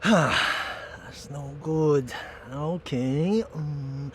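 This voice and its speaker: A man's voice: a little high, drawn-out words, a bit bright, a little hiss or hum. A man playing a reluctant, anxious gangster underling in a video game cutscene, plaintive, hesitant and blunt.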